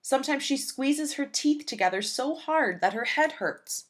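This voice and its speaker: A woman reading a children's picture book aloud in a gentle, expressive storytelling manner. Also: sits high, moderate pace.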